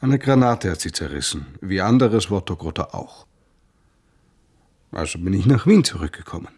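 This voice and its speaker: An actor playing a humble, devout old coachman in a radio drama, telling things in a plaintive, emphatic way with a husky voice. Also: low voice, lively intonation, normal speed, a little mellow. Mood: sorrowful.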